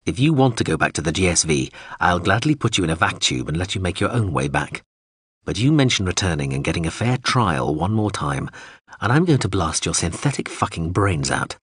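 A hard-bitten, blunt mercenary commander, as a man reads him. He talks low and profanely, with a husky edge. The sound is dry and close. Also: very animated, a little fast, no echo, exaggerated voice. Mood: threatening. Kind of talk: audiobook character voice.

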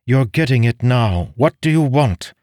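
A cool, commanding noblewoman from a fantasy storybook, voiced by a male reader. Her speech is curt and imperious, lifted well above the reader's own register, with animated intonation and a dry, close sound. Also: mid-range voice, moderate pace, no room sound, pitched above the performer's own voice, natural voice. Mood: impatient.

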